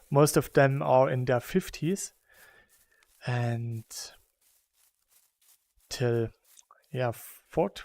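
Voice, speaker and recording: Slow-talking German man, casual chat. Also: medium pitch, warm tone, no room sound. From Saxony, Germany. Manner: rambling and chatty, laughs between thoughts. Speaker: gaming YouTuber. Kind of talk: casual chat while playing a game.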